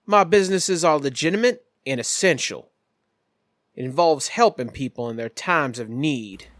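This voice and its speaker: A man playing a smooth, shady businessman patron in a tabletop game, speaking in a polished, formal and evasive way. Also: a little high, expressive, normal speed, fairly bright tone, dry recording, studio quality. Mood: suave, reassuring.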